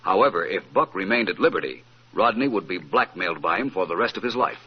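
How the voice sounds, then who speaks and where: A suave, witty gentleman detective from a vintage radio mystery. His low voice swings freely and expressively, urbane and teasing. The old recording is muffled. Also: expressive, fast talker, a little hiss or hum. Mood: assured, explanatory. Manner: urbane, teasing, explaining with assurance. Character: suave, witty, self-assured male amateur detective.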